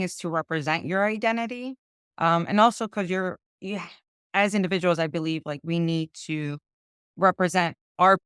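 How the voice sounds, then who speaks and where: A woman's voice: fairly low pitch, fairly bright tone, smooth, dry recording, clean studio sound. A young woman from Chicago, a lawyer, talking casually as an interview guest, with lots of "um" and "like". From Chicago, United States. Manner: chatty, full of "um" and "like" fillers.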